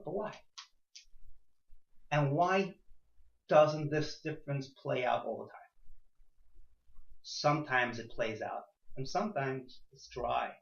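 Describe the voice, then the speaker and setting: Male teacher, very animated delivery, rhetorical questions, restated phrases, dull roomy recording. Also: mid-range voice. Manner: rhetorical questions, repeats and rephrases himself. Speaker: teacher leading a class. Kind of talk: interactive lecture to a small class. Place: indoor room with a few students. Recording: echoey room, dull muffled sound.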